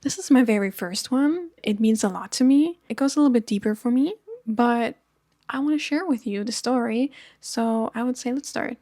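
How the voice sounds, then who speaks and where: A woman in her thirties from Germany, talking earnestly to camera in a personal vlog, with frequent soft ums. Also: a little high, normal speed, dry recording, studio quality. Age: 30s. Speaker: vlogger. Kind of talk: personal storytelling chat to camera.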